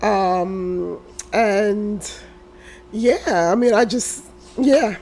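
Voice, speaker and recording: Hoarse woman in her thirties, unhurried. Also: mid-range voice, a little mellow. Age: 30s. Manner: slow and unhurried, with frequent fillers. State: hoarse from a cold. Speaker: guest. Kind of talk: casual interview, telling her story.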